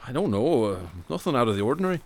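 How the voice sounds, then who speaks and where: A man's voice: mid-range voice, big pitch swings, very fast. An actor in a radio drama playing a troubled former policeman, hesitant, sardonic and evasive as a patient. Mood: evasive, shaken.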